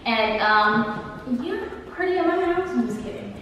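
A playful woman in her early twenties chats her way through an empty apartment. Her fairly high voice bounces around the bare walls with a long, hollow echo. The camera mic sits some distance away and picks up a steady hiss. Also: a little slow. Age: 20s. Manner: bubbly, chatty, playful. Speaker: vlogger. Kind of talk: casual apartment tour vlog. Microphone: camera mic, handheld and at a distance. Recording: echoey empty rooms, camera held away.

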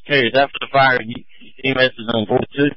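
Guy running a fire scene over radio, dull dry sound, mid-pitched voice. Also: steady tone, fast talker, no room sound. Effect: two-way radio. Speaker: fire incident commander. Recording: muffled two-way radio transmission.

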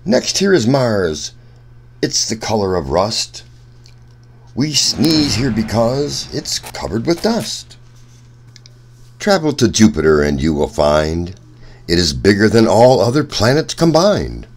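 Guy reading a kids rhyming book out loud, super animated, pitch going all over, sing-song. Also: slow. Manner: expressive, sing-song storytelling. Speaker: children's story reader, host. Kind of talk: read-aloud rhyming children's picture book.

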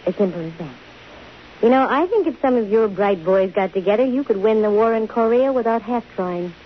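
A woman's voice: mid-range voice, lively intonation, brisk, close to an everyday voice. An actress playing a wisecracking, self-assured female private detective with dry, sardonic wit and an American accent, in 1950s radio drama style. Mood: wry, coolly flirtatious. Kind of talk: 1950s American radio drama acting with first-person narration. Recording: muffled 1950 radio broadcast, hissy.

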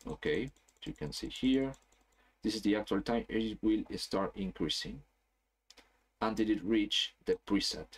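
Low-voiced man explaining software, chatty. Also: husky. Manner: casual, explanatory, frequent "okay?" checks. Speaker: software trainer. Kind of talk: screen-share tutorial.

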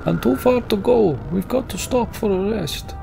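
Man putting on an alarmed, high-pitched woman fighter. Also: normal speed, gravelly, over music. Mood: alarmed, exhausted. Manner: urgent yelling. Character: exhausted, alarmed woman fighter yelling for rest.

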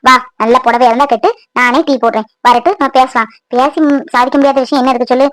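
Woman playing a bossy caring big sister type, steady and firm, dry close sound. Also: sits high, quick pace, dry recording, close to an everyday voice. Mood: reassuring. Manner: firm, reassuring, lecturing. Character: firm, motherly older-sister neighbour. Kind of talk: Tamil domestic audio drama.

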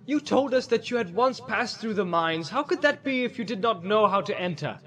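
A man's voice: medium pitch, moderate pace, darkish tone, natural voice. A man voicing a proud male warrior in a storybook reading, uneasy and challenging, with an indignant manner.

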